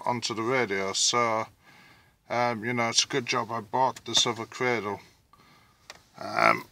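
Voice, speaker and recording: A man giving casual, halting hands-on commentary as he thinks aloud through a DIY job, recorded on a handheld phone. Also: mid-range voice, bright, forceful tone. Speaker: vlogger narrating a DIY car radio install.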